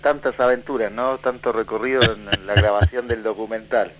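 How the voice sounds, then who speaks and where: A man's voice: fairly low pitch, fairly even intonation, a little fast, over a noisy crowd, low-quality recording. A man from Chile answering as a guest in a radio interview, searching for his words. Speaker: documentary director, interview guest.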